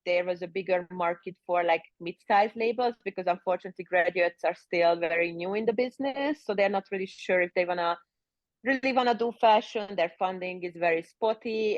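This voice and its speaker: A woman from Hungary, circling her points with constant "like" and "you know". Her voice sits fairly low. The recording is dull and dry, like remote audio. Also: no echo. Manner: rambling, heavy with fillers like "like" and "you know". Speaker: guest. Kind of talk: casual interview chat.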